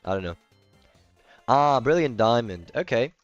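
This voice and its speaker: A man's voice: mid-range voice, animated, measured pace, no room sound, soft background music. The guy you would hear on a late gaming livestream, a native German speaker with a German accent answering chat in a clean voice, through a close, slightly muffled mic. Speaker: livestreamer playing a video game. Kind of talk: casual chat, replying to stream comments.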